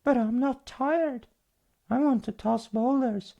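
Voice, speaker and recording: A man voicing a tiny, stubborn little mountain troll who protests in a squeaky falsetto, in a bedtime story. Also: takes it slowly, gravelly, mellow, dull audio, full character voice.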